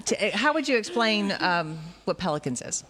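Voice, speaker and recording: A woman co-hosting a local radio talk show, asking guests questions in a lively way. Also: medium pitch, normal speed, a crowd in the background. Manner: lively, warm questions with laughs. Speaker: radio co-host. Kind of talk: casual radio interview.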